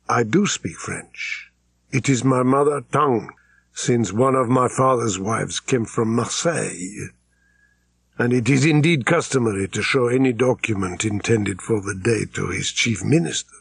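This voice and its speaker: A man's voice: mid-range voice, vocal fry, fairly bright tone, husky, no echo, studio quality, heavily acted. A man voicing a dignified middle-aged vizier, formal, courtly and gracious, in an audiobook.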